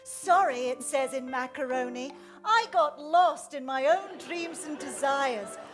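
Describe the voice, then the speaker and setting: Kids tv craft presenter, really high and swoopy, music behind. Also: female voice, lively intonation, a little slow. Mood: chirpy. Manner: singsong, addressing children. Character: bright children's craft show presenter. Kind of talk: improvised stage comedy.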